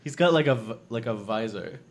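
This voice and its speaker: A man at a middle pitch co-hosts a relaxed conversation. He jokes around and throws out playful questions to the others. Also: a little fast. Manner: joking, asks playful questions. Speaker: podcast co-host. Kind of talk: casual chat.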